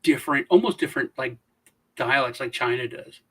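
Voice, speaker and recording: A man co-hosting a casual chat show, telling stories in a Southern American accent and jumping into high, loud voices when he mimics people. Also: fairly quick, breathy edge. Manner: storytelling, mimics voices with high-pitched bursts. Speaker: podcast co-host.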